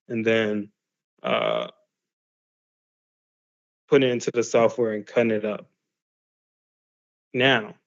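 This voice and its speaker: A man's voice: medium pitch, a little mellow, lo-fi sound. A man teaching a class in a loose, conversational way, full of fillers and restarts. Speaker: class instructor. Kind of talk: casual explanatory lesson.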